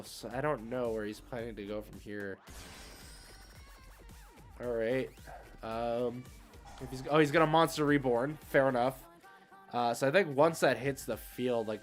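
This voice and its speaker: A man's voice: medium pitch, animated, a little slow, faint music behind. A man hosting a casual game livestream, thinking aloud in an easygoing, somewhat hesitant way as he plays. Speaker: livestream host and card game player. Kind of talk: casual live game stream commentary.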